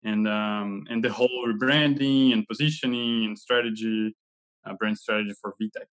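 A man's voice: on the low side, moderate pace, rough recording. Brazil guy in his 30s, chill, headset video call chat. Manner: relaxed, with fillers and light laughs. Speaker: guest, head of content. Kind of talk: casual video-call interview. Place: home room.

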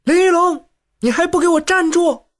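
A sharp, high young heroine who shrieks her insults, as a male storyteller puts her on. Her voice jumps far above the reader's own and stays piercing. The delivery is lively and pitched for a story read aloud. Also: quick pace, heavily acted. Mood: furious. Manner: shrill, scolding. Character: fierce young woman fighter. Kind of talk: storytelling audiobook.

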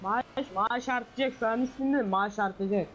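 A man's voice: very high-pitched, normal speed, a bit bright, highs cut off, slight background noise. A man explaining things casually as a player in an online roleplay game, over voice chat.

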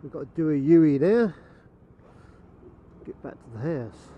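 A man's voice: fairly high pitch, expressive, a little slow. A man, chatting casually and dryly about the roads as he rides a motorbike. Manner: chatty, dry, self-mocking asides. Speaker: motovlogger. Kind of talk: casual running commentary while riding. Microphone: helmet camera mic. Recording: muffled helmet-cam audio with road noise.

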